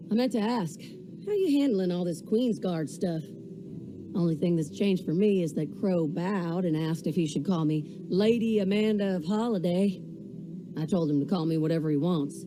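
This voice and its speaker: Woman as young female fighter pilot, mid-pitched, folksy swagger, game dialogue, clear musical backing. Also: close to an everyday voice, low-quality recording. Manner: folksy, breezy, playful swagger. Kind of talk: video game character dialogue.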